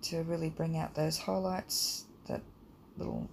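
Croaky woman teaching drawing, rambling. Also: low voice, unhurried, slight background noise. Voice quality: croaky, gravelly. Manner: relaxed and rambling, many ums. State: croaky, hay fever. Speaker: art teacher. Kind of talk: casual drawing tutorial voice-over.

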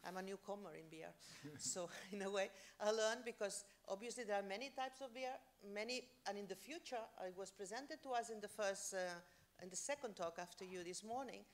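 A woman's voice: mid-range voice, fairly quick. A woman on a conference panel answering questions, hesitant and restarting sentences, on a handheld mic in a large hall. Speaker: panelist researcher. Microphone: handheld microphone.

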